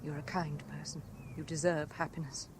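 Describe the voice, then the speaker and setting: An actress with a British accent playing a wistful, enigmatic married woman in a 1970s radio drama, musing with soft intensity. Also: low-pitched, little pitch movement, normal speed, natural voice. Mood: gentle, curious. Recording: noisy 1970s radio broadcast recording.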